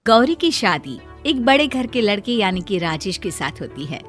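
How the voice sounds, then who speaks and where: A woman's voice: medium pitch, very animated, normal speed, soft background music. A woman narrating a scripted moral story as a storyteller.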